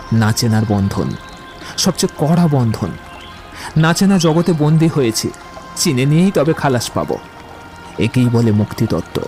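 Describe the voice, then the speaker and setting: An actor playing a witty, poetry-loving young romantic suitor in an audio drama, with eloquent, theatrical delivery. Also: male voice, a little high, dark tone. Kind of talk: Bengali audio drama acting.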